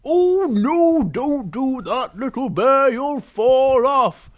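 Grown-up doing a fussy careful storybook bear, drags words out, voice pitched up, earnest. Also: huge pitch swings, slow pace, exaggerated voice. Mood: worried. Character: polite, fretful, cautious big bear.

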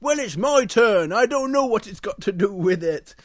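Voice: mid-range voice, expressive, moderate pace, dry recording, studio quality, pitched above the performer's own voice, natural voice. A storyteller voicing a blunt, possessive but friendly male polar bear in a children's story, speaking emphatically. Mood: stubborn.

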